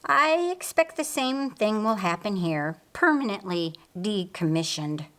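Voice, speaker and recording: A woman voicing a calm man who explains things matter-of-factly in audiobook dialogue. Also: medium pitch, a little slow.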